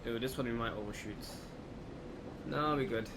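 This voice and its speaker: A man's voice: medium pitch, moderate pace. A man giving casual, unscripted let's play commentary, thinking aloud as he goes. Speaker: gaming video host. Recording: clear steady background noise, air-conditioning-like hum.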